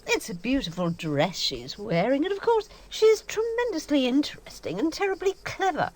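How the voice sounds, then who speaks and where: A woman's voice: high-pitched, wildly swooping pitch, a little fast. A middle-aged woman playing a vain, domineering actress in an audio drama, with a grand, emphatic, self-satisfied manner.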